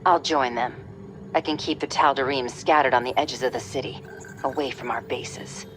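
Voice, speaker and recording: A woman's voice: extremely low pitch, moderate pace, a little hiss or hum. A woman playing a tough, cool military operative in a sci-fi video game, terse and steely in manner. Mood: determined.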